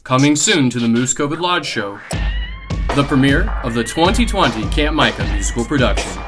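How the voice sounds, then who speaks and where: A man's voice: mid-range voice, animated, moderate pace, music in the background. A young man announcing a mock musical trailer in a dramatic announcer manner.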